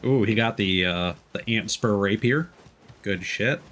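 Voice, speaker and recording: Low-voiced man musing over a game. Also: measured pace. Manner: musing, thinking out loud. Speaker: streamer playing a video game. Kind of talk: casual commentary, sometimes reading game text aloud. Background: faint game music.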